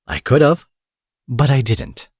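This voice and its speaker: A man's voice: medium pitch, measured pace, darkish tone, husky, dry recording, clean studio sound. A man playing an angry, menacing supervillain in an audio drama, profane, sarcastic and theatrical, taunting with mock affection. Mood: smug, taunting. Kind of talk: roleplay audio drama monologue.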